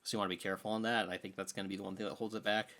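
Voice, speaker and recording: A man speaks in a somewhat low-pitched voice as the co-host of a film podcast. His delivery is conversational and casual, offering opinions as he goes. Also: little pitch movement, racing, pushed and bright, a little hiss or hum.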